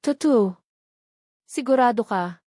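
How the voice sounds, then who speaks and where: A woman's voice: fairly high pitch, animated, takes it slowly, warm tone, no room sound, studio quality. A woman with a Filipino accent voicing a remorseful, devoted husband in a scripted audio drama, in an earnest, pleading manner. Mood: stunned joy.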